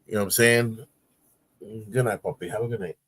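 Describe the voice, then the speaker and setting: A man's voice: lowish voice, a little fast, fairly bright tone, no room sound, low-quality recording. A man in his forties, a guest on a casual talk show, telling stories with energy and acting out grunts and voices. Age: 40s.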